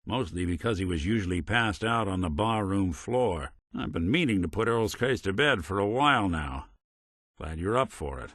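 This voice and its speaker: A low-pitched American robot gumshoe, wry and world-weary but decent at heart. His streetwise noir delivery swings widely in pitch. It is a close, dry game recording. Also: expressive, normal speed, fairly bright tone, dry recording. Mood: wry, knowing. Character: world-weary, wry, decent robot private detective, male, middle-aged. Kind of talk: video game character voice lines, hard-boiled noir detective.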